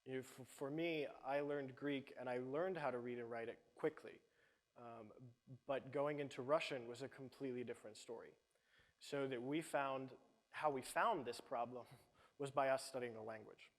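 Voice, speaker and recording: A man's voice: medium pitch, moderate pace. A man, the business lead of a startup, explaining his team's product to an audience. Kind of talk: audience Q&A pitch answer. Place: event hall. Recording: long hall reverb.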